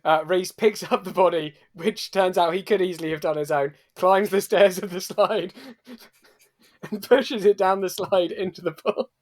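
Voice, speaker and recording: A man's voice: high-pitched, steady tone, normal speed. A man improvising casual narration and banter as a player in a roleplay podcast.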